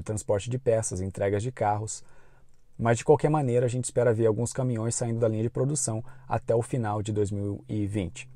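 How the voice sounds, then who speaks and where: A man with a Brazilian Portuguese accent, hosting a channel and giving informative, measured news commentary in an explanatory way. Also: mid-range voice, mellow, dry recording. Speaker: YouTube channel host.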